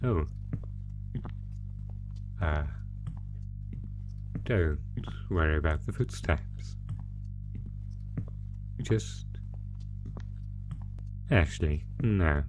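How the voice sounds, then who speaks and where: A man voicing a sadistic, theatrical monster who taunts a prisoner in a horror roleplay, talking with drawn-out pauses. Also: a bass voice, lively intonation, over music, full character voice. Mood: evasive, menacing. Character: sadistic, gloating male monster stalking its prisoner through a maze. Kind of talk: ASMR horror roleplay audio drama.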